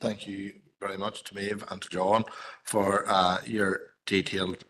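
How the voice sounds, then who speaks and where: A man's voice: low voice, little pitch movement, unhurried, a bit bright, a little hiss or hum. A man chairing a council committee, formal and procedural. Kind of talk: chairing a meeting. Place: council chamber.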